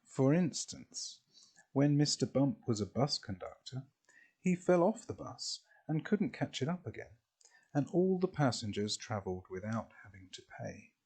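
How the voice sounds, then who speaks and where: A man reading a children's storybook expressively, in a deliberate way with drawn-out phrases. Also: medium pitch, lively intonation, darkish tone, slightly rough.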